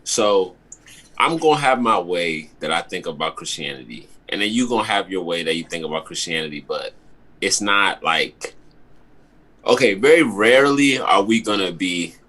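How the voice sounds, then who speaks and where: A young man with a deep, slightly husky voice speaks on a video call. He talks in a relaxed, slangy way, full of fillers and loose phrasing. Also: normal speed. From Maryland, with early childhood in Ghana. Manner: laid-back, loose slang, lots of fillers. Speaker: guest artist. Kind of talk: casual video-call chat.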